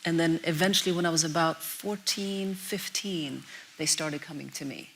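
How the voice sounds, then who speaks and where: A woman's voice: sits low, normal speed, creaky, a bit bright. A young woman from Norway, a documentary filmmaker, answering interview questions in an earnest, reflective way with frequent restarts. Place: event venue. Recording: faint hiss.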